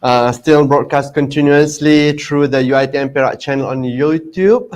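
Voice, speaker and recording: A man moderating an online art panel in a formal way, with halting speech and many fillers. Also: mid-range voice, steady tone, a little mellow. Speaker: moderator, lecturer.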